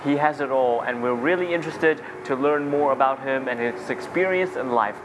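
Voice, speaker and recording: A man's voice: medium pitch, fast talker, background noise. Upbeat male host, on location. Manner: upbeat, encouraging with frequent backchannels. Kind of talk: on-location interview.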